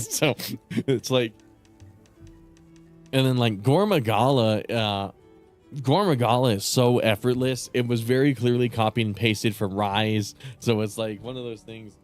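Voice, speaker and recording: A man chatting casually with viewers on a live stream, rambling and swearing with lots of fillers, his voice starting to go raspy. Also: mid-range voice, a little slow, darkish tone, soft background music. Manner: rambling, profane, many fillers and restarts. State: voice getting raspy.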